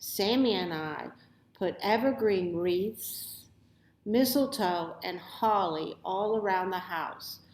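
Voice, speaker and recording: A woman reading a children's story aloud with expressive, deliberate phrasing in a roomy, echoey recording. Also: mid-range voice, a little hiss or hum. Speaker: storybook reader.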